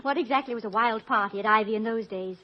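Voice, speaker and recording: An actress playing an affectionate, gently teasing and supportive wife of a college president in a 1950s radio comedy. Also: female voice, a little high, fast, lightly acted. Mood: playful, fond. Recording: muffled old radio recording, faint crowd and noise.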